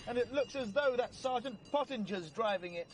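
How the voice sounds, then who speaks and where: An actor playing a polite, nervous and naive young gentleman with an English accent in a vintage radio play, hesitant and well-mannered. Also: very high-pitched, animated, brisk. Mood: alarmed. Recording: noisy vintage radio drama recording.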